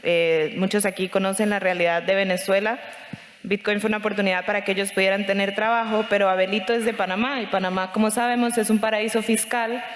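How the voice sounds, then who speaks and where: A woman's voice: medium pitch, level tone, fast talker. A woman from Costa Rica with a Costa Rican accent, moderating and introducing a conference panel. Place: conference stage in a hall. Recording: long hall reverb.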